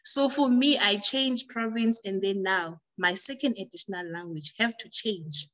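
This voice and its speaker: A woman's voice: medium pitch, normal speed, fairly bright tone, rough recording. South African woman explaining things conversationally. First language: Xhosa. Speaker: language tutor being interviewed. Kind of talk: conversational interview answers.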